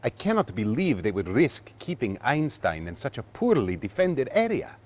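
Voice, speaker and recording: A stern Soviet military adviser speaks in formal, clipped phrases. His pitch moves in lively swings, dutiful yet accusing, as in a game cutscene. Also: normal speed. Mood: grave, reporting. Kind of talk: video game cutscene acting.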